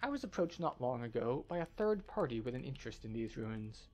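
A man voicing an earnest scholar from a fantasy game expedition, speaking in a formal, measured way. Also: mid-range voice, big pitch swings, a little mellow. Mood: guarded, formal.

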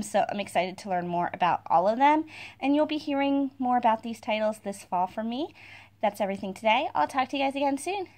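A woman's voice: fairly high pitch, darkish tone. A woman hosting a book vlog, giving casual unboxing commentary in an informal, chatty way. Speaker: book blogger and video host. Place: carpeted room at home.

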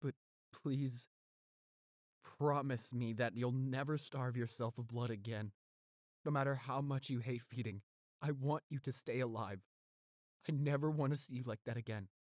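A man playing a gentle, devoted, weakened boyfriend in an intimate roleplay audio drama, speaking softly, haltingly and reassuringly. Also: mid-range voice, steady tone, no echo, lightly acted. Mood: tender, pleading. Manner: tender, halting, reassuring, close and soft.

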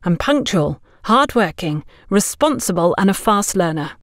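A woman's voice: medium pitch, sing-song, measured pace, no echo, clean studio sound. A woman voicing an earnest, polite young female job seeker in an audiobook, respectful and eager. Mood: hopeful, pleading.

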